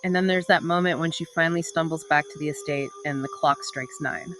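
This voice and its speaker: A woman's voice: low-pitched, fairly even intonation, a little fast, fairly bright tone, a little hiss or hum. A woman co-hosting a podcast in a conversational literary discussion, with dramatic storytelling emphasis. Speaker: podcast co-host.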